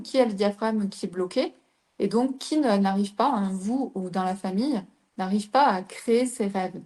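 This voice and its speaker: A woman's voice: on the high side, warm tone. A middle-aged woman from southern France, a coach giving a live talk and putting rhetorical questions to her listeners. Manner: explains with rhetorical questions to the audience.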